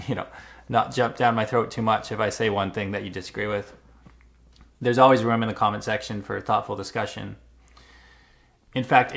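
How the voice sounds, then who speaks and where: A Canadian man vlogging, speaking in a measured, thoughtful way with frequent uhs and small restarts. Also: on the low side, gravelly, a bit bright. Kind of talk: reflective personal vlog. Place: Montreal.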